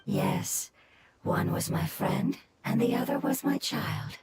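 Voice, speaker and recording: Soft formal little plant spirit. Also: female voice, a little high, slow, creaky, slight background noise. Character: small gentle plant spirit, formal and tender. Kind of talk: video game dialogue. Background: game music.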